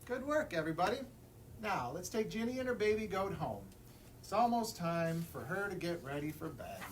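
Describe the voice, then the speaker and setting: A man's voice: high voice, very animated, normal speed. A man reading a children's picture book aloud as a librarian at story time, expressively, putting questions to young listeners. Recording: distant mic, room noise.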